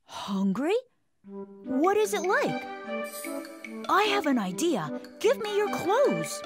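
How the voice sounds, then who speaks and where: A high, bouncing boy's voice for a curious young prince, proud and a little imperious, as a woman voices him. He speaks slowly and with lots of swing in his pitch. Music plays underneath the storybook cartoon. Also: heavily acted. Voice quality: high, lively boyish voice. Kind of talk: animated storybook dub.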